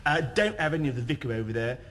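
A man's voice: mid-range voice, expressive, very fast, a bit bright, lightly acted. An actor playing a chirpy, confiding English man who is served as a dish, in television sketch comedy. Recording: noisy 1970s television studio recording.

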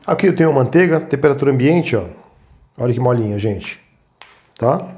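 A man's voice: medium pitch, expressive, normal speed, noisy background. Brazilian man casually teaching a recipe. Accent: Brazilian Portuguese. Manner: informal, instructive, frequent check-in tags. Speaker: cooking show host. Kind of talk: casual step-by-step cooking demonstration.

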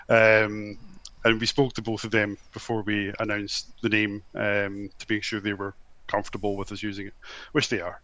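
A man's voice: lowish voice, sharp tone, poor audio. A man with a slight Glasgow accent from Scotland, a convention organiser chatting at length in an interview, with lots of ums. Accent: Scottish, slight Glasgow. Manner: talks at length with frequent ums and restarts. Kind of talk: casual podcast interview.

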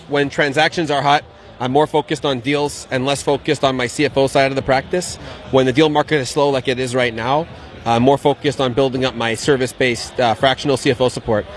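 A man, around his thirties, answering questions about his work in an outdoor street interview. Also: mid-range voice. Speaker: interviewee, investment banking business owner. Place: outdoor patio at an event. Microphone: handheld interview mic.

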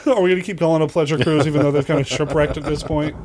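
A man's voice: on the high side, fairly even intonation, rapid-fire, a crowd in the background. A man hosting a podcast, discussing film in a casual, conversational way with joking asides. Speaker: podcast host.

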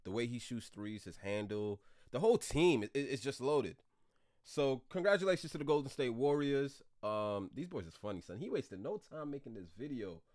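A young man hosting a casual reaction video from a room at home, talking in an animated, slangy way. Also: medium pitch, normal speed. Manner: animated, slangy, laughs along. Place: home room with gaming chair.

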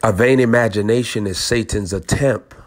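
A man preaching in a prophetic teaching style, deliberate and aphoristic, repeating phrases for emphasis. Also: on the low side. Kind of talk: prophetic teaching over a phone call.

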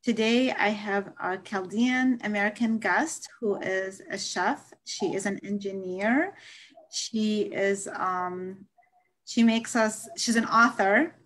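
A middle-aged woman hosts an interview in a conversational way, with a medium-pitched voice. She speaks into a computer mic on a video call from a home study. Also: takes it slowly, fairly bright tone, poor audio. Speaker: talk series host.

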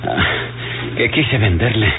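An actor playing a bitter, sardonic dying old rogue in a radio serial, with a Mexican accent and a groaning, halting, rustic way of talking. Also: male voice, fairly low pitch, lively intonation. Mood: sardonic, rambling. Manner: rustic, sardonic, colloquial speech. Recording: muffled, hissy old radio drama recording.

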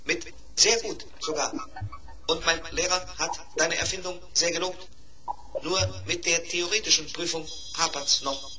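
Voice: medium pitch, steady tone, a little slow, a crowd in the background, close to an everyday voice. An actor playing a polite, earnest schoolboy robot in a 1970s German children's radio play, speaking precisely.

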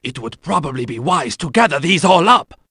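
Aged rat ninja mentor for a video game: gravelly, formal and sage, pitched fairly high with very lively swings. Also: male voice, brisk, no echo, clean studio sound, exaggerated voice. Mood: energetic, confident. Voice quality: raspy, gravelly. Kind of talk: video game battle lines and advice.

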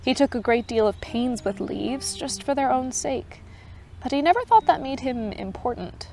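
A woman voicing a gentle but authoritative unseen advocate who speaks kindly and with measured calm. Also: high-pitched, vocal fry, a little hiss or hum. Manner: kind, measured, pleading.

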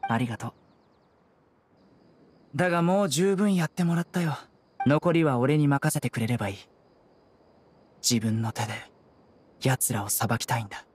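A man voicing a stern, calm, resolute young judge in an anime game, speaking in a measured, serious, blunt way. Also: medium pitch, breathy edge, natural voice. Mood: firm. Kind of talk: Japanese anime game voice acting.

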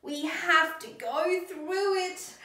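A woman's voice: very high voice, slow, pushed and bright. A young woman reading a picture book aloud to children in a theatrical, exaggerated way. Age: young adult. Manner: theatrical, exaggerated expression with dramatic gasps. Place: small indoor classroom. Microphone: camera a short distance away. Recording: echoey room, slightly distant microphone.

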